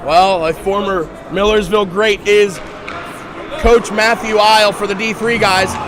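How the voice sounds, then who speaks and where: A young man calling live hockey play-by-play, his voice a little raspy from a weekend of games. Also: high-pitched, steady tone, unhurried. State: slightly raspy from three games. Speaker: play-by-play commentator. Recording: loud crowd and arena noise.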